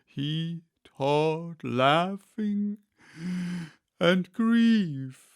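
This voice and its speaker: Man voicing a slow, mournful storybook turtle. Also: a little high, lively intonation, clean tone, dry recording, pitched above the performer's own voice, full character voice. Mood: sighing, mournful. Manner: drawn-out, mournful delivery with long stretched words. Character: melancholy, sighing, slow male Mock Turtle. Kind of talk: storybook character reading.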